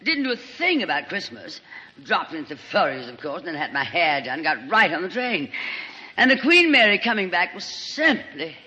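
A woman's voice: on the low side, very animated, normal speed, pushed and bright, slightly rough, heavily acted. A woman playing a gushing, glamorous society actress with dramatic endearments in an old-time radio comedy sketch. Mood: gushing chatter. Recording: muffled old radio broadcast, long hall reverb.

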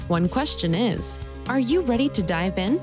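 A woman's voice: fairly high pitch, expressive, moderate pace. Sing-song woman announcer over music. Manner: upbeat, sing-song delivery. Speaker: show announcer. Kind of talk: scripted podcast intro. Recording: clear music behind.

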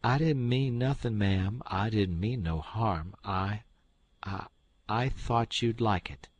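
A man voicing a cheeky, smooth-talking teenage boy who acts overly polite and innocent. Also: fairly low pitch, takes it slowly, no room sound, highs cut off. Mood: sheepish.

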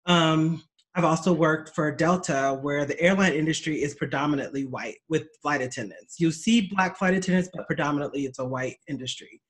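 A man in a casual group discussion, speaking in a measured, hesitant way with fillers. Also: a little high, fairly bright tone. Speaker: discussion participant.

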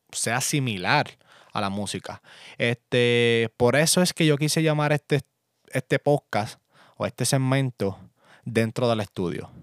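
A young man from Puerto Rico with a Puerto Rican accent, hosting a casual solo podcast from his home studio in a relaxed, chatty way with lots of fillers. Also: medium pitch, clean tone, no room sound. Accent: Puerto Rican Spanish. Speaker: podcast host and music producer.

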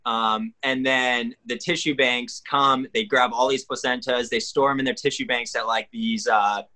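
A young man in his twenties with a bright, forward voice, talking casually as a podcast guest. He rambles through explanations, stacking "uh" and "like" as he goes, and sounds friendly and unhurried at mid pitch. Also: fairly even intonation. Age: 20s. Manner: rambling, filler-heavy explaining. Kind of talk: casual chat.

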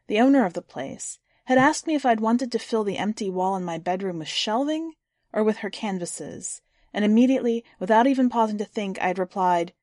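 A woman hosting a fiction podcast, introducing and then reading a short story in a measured, clear storytelling delivery. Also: medium pitch, a little mellow, dry recording, clean studio sound. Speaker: podcast host and story reader.

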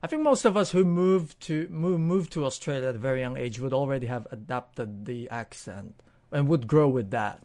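A woman hosting a relaxed interview, asking questions informally and feeling her way into each one. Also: a bass voice, moderate pace. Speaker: interviewer, host. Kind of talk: casual conversational interviewing.

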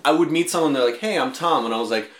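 A young man hosting a podcast, telling stories in a joking, animated way. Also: fairly high pitch, quick pace. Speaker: podcast host. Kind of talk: casual chat. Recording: echoey room.

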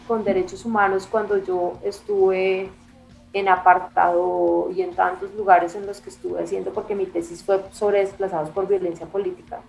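A woman with a Bogotá Colombian accent talks casually and reflectively as an interview guest, with a mid-pitched voice. She is emphatic and repeats phrases to drive a point home. Music plays softly underneath. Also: creaky, poor audio. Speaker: interview guest, music and culture magazine editor.

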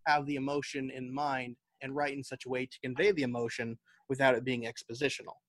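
A man's voice: mid-range voice, level tone, a little fast, no room sound. American man explaining in an interview, dry close sound. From United States. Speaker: podcast guest, novelist and audio drama writer. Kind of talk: conversational interview, explaining his craft.

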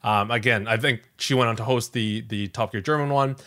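A man's voice: medium pitch, fairly quick, pushed and bright. A man hosting a podcast in a casual chat style, conversational and often hedging as he thinks aloud. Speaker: podcast host.

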